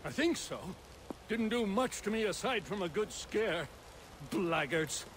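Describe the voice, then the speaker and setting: Polite, high-voiced colonial craftsman. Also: animated, a little slow, a bit bright, a little hiss or hum. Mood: grateful, rueful. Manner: polite, old-fashioned, wordy. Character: courteous, modest colonial woodworker, shaken but chatty. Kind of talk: video game cutscene acting.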